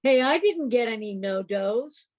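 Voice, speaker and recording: A woman's voice: a little high, lively intonation, unhurried. A woman chatting informally as host of an online meeting over a video call.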